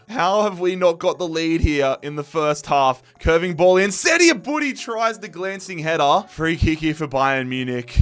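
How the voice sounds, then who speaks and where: A young man, commentating his football game live, shouting at chances, his voice going hoarse. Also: sits high. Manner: shouts and groans at every chance. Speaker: gaming YouTuber.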